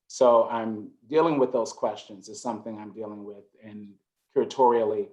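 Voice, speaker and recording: A man, a curator speaking on a video call panel, measured and reflective with occasional fillers. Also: on the low side, a little mellow, low-quality recording. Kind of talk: panel discussion.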